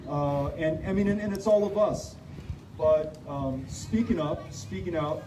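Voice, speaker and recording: A man answering audience questions at a town hall, speaking off the cuff in an earnest, halting way with repeated words. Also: on the high side. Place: park pavilion, community meeting. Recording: roomy, distant live-stream sound, loud background noise.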